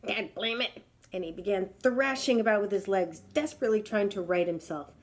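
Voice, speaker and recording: A woman's voice: medium pitch, animated, brisk. A woman storyteller reading a children's tale aloud in a dramatic, emphatic way.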